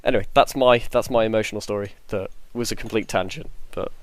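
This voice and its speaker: A man's voice: lowish voice, fast talker, no room sound. A man speaking casually and earnestly as a panelist on a podcast, in a reflective way.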